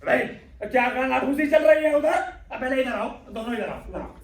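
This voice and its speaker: A man's voice: extremely high pitch, expressive, normal speed. A man acting a suspicious, irritable old miser of a father in a stage play, blustering and wheedling by turns. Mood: scolding. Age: young adult. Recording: distant, echoey, noisy live stage recording.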